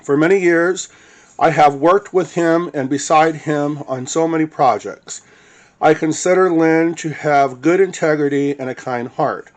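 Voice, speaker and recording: A man's voice: on the high side, a little slow. A man reading witness statements aloud as the narrator of his own recording.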